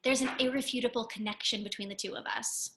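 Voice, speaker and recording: A woman's voice: a little high, fairly even intonation, fast, bright, forceful tone. A woman playing a lovesick, theatrical young art student in a comedy table read. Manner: theatrical, indignant, overdramatic. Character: melodramatic, lovesick young art student, self-styled damsel in distress.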